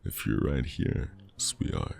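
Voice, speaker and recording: A man playing a flirty, charming stranger in an intimate, teasing ASMR roleplay, with a deep, gravelly voice and a lingering delivery. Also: slow pace, a little mellow, slight background noise, natural voice. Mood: tender, eager. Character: flirty charming male stranger at New Year's party.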